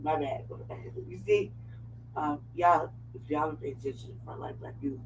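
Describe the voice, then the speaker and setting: A raspy-voiced woman co-hosting a casual live show, still groggy from sleep and talking in a loose, scattered way. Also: low-pitched, moderate pace. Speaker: co-host. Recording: far from mic, noisy line.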